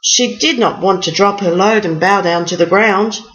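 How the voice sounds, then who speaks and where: A woman's voice: mid-range voice, moderate pace, fairly bright tone, muffled recording. A woman storyteller reading a rhyming picture book aloud as a bedtime story.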